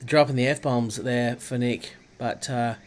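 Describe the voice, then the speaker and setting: Australian man, stuffy nasal voice, live-stream host, chatty, greets viewers by name, mid pitch. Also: steady tone, takes it slowly. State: congested, nasal from a cold. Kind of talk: casual live-stream chat over gameplay.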